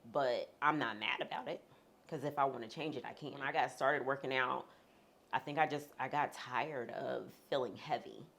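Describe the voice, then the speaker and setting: Her voice sits extremely low and has a gravelly rasp to it. She is a woman being interviewed and talks frankly and conversationally, with a few humorous asides. Her husky tone stays steady throughout. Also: moderate pace. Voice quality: deep, husky voice. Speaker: interview guest. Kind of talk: candid sit-down conversation.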